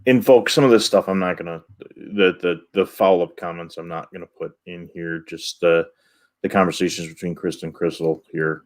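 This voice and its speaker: A man co-hosting a live show, addressing viewers in halting, rambling talk full of stammered restarts. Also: low-pitched, fairly even intonation. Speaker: co-host reading chat questions.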